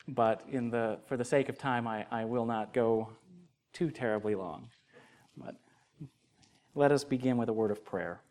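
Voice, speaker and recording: A young adult man, an associate pastor delivering a sermon and prayer in an earnest, measured way that grows more emphatic. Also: medium pitch, a little mellow, smooth.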